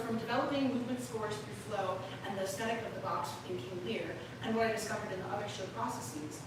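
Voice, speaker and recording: Brisk non-binary grad student reading their talk, echoey hall, hissy and distant. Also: medium pitch. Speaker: graduate thesis presenter. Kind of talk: reading a prepared academic presentation. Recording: echoey room from a distant mic with background hiss.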